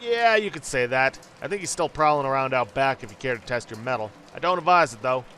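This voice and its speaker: Man putting on a cheerful, folksy hobo with bright voice. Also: medium pitch, lively intonation, fast, a little hiss or hum. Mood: friendly, folksy. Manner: folksy drawl-like phrasing, jovial. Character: cheerful folksy joshing male hobo camped in a boxcar. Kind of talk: read-aloud game character dialogue.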